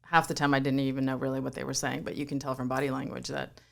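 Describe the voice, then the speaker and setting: Low, gravelly Croatian-born woman. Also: little pitch movement, fast. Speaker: guest, yoga studio owner. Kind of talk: relaxed interview conversation.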